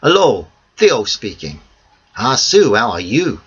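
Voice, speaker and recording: A man voicing an old cafe owner, friendly and excitable, speaking broken English with lots of "ah" and "eh". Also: mid-range voice, slow pace, slightly rough, heavily acted. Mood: eager. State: a bit out of breath. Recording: dull lo-fi recording.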